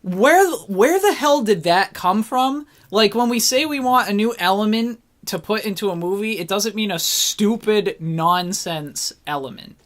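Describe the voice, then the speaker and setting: Co-host for a film and games chat show: mid-pitched, informal, opinionated. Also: expressive, a little slow, few pauses, fairly bright tone. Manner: informal, opinionated, mildly swearing. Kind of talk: casual opinionated discussion of films and games.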